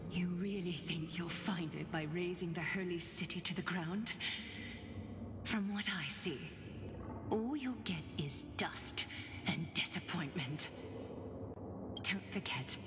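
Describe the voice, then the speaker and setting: A woman playing a proud, composed noblewoman leader in a video game, speaking in a measured, solemn, formal and unyielding way. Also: on the low side, noisy background. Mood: solemn defiance. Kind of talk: dramatic video game voice acting. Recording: long hall reverb under loud music.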